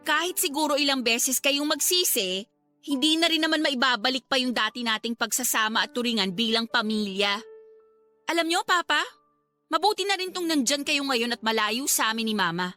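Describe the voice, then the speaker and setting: High, bright young Filipino daughter. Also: female voice, expressive, moderate pace, soft background music. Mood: bitter, furious. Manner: emotional, cutting, polite address to parents. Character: hurt, angry student daughter confronting her cheating father. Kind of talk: Filipino radio drama acting.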